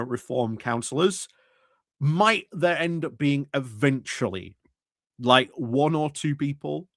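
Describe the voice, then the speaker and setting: A man's voice: mid-range voice, a bit bright, dry recording, studio quality. A man giving casual commentary on meeting clips, hesitant, with many fillers and restarts. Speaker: political commentary streamer.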